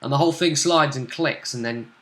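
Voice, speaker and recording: A man's voice: mid-range voice, a little fast, fairly bright tone. A man with a British accent casually recapping a tabletop role-playing session as game master, explaining things conversationally. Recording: echoey room.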